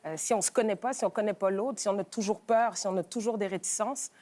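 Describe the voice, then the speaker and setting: Chanteuse invitée qui hésite souvent. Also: female voice, medium pitch, fast talker. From Senegal. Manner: relaxed, hesitant with many fillers. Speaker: singer-songwriter guest. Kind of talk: talk show interview.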